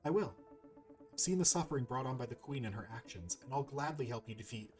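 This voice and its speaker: A man's voice: medium pitch, animated, fast talker, a little mellow, distant mic, faint music behind, close to an everyday voice. A man voicing a brave, polite young adventurer heroine in a video game, earnest and plain. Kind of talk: amateur video game dialogue voice-over.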